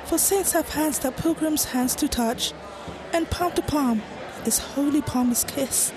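Young woman acting a high-voiced, lovestruck Shakespearean girl. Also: unhurried, a crowd in the background, low-quality recording. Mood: coy. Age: young adult. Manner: earnest, poetic verse delivery. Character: passionate, anxious young girl in love. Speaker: student actor. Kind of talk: Shakespearean audio drama acting.

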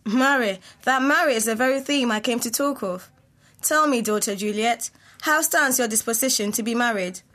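Woman playing a noble mother, very high voice, formal, commanding, declaimed verse, audio drama. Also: normal speed, fairly bright tone, close to an everyday voice. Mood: formal, urging. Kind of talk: student audio drama of Shakespeare.

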